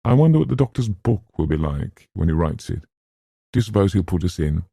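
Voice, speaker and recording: A man voicing a flippant young gentleman in a storybook, with a light, lazy, mock-serious manner and playful humour. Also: deep voice, big pitch swings, brisk, gravelly, breathy edge, dry recording, clean studio sound, full character voice. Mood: idle musing.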